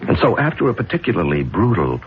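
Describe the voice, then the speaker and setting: An actor with an American accent playing a smooth, patronizing middle-aged judge with a dark secret, in 1970s radio drama style. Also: male voice, on the low side, very animated, fast. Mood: intense persuasion. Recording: dull, noisy old radio broadcast.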